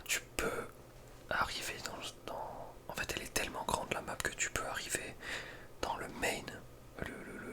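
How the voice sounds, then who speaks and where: Whispering Frenchman, casual ASMR commentary. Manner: casual, slangy, hesitant asides. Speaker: ASMR content creator.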